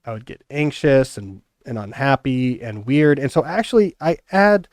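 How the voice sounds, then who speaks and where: A middle-aged man chats his way through a podcast episode, joking around and restarting his sentences as he goes. His voice sits at an everyday male pitch. The sound is close and dry. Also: lively intonation, normal speed, darkish tone, no room sound. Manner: joking, conversational, loose restarts. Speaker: podcast host. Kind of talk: casual podcast chat.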